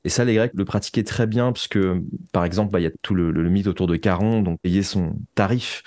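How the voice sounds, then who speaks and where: A man's voice: sits low, a little fast, darkish tone, dry recording. A man speaking as a writer in a reflective interview, searching for his phrasing and repeating words as he goes. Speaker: guest, writer of audio fiction.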